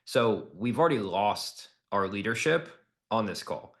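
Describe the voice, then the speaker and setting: A man with a fairly low voice works as a sales coach. He talks in a direct, casual way, explaining and critiquing like someone walking a student through a recording. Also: animated, unhurried, fairly bright tone. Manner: direct, instructive, casual, acts out example lines.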